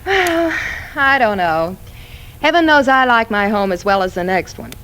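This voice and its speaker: Breezy, lively radio-drama friend. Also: female voice, fairly high pitch, measured pace. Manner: teasing, familiar. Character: breezy, chatty female family friend. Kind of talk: 1940s radio suspense acting. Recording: slightly noisy vintage radio recording.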